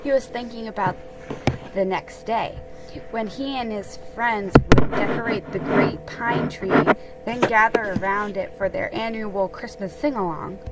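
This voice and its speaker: A woman hosting a holiday read-aloud, mixing casual chat with dramatic storybook reading in a halting way that stumbles over words. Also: mid-range voice, heavy background noise.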